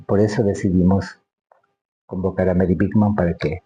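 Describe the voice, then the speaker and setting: An older man with an Ecuadorian accent interviewing in a casual way, halting and repeating phrases as he searches for words. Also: sits low, little pitch movement, dark tone, breathy edge, lo-fi sound.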